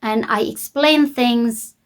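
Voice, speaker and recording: Woman, Filipino accent, non-native English speaker, somewhat high pitch, informal online coaching, simple deliberate phrasing, emphatic repetition. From Philippines. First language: Filipino language. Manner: emphatic, repeats key points. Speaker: YouTube coach. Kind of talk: informal coaching talk to viewers.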